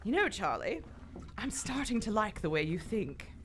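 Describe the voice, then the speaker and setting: A woman's voice: medium pitch, very animated, moderate pace, lightly acted. A woman playing a bold, commanding lady adventurer in live radio theater, recorded on a theater stage. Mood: wry.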